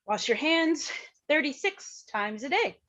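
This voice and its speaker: A woman's voice: sits high, sing-song, unhurried, a bit bright, dull audio, heavily acted. A woman acting a dutiful, worried mother in a staged reading of a play. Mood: wry, dutiful.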